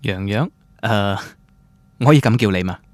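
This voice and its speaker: An actor with a Cantonese accent playing a gentle but opinionated young man in a radio drama. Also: on the low side, normal speed, no echo, close to an everyday voice. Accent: Cantonese, Guangdong.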